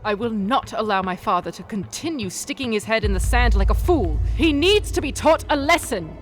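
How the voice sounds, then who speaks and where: An actress playing a defiant, ruthless young heiress in a radio play, sharp-tongued and imperious. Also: female voice, a little high, lively intonation, moderate pace, faint music behind, close to an everyday voice. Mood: cold, resolute.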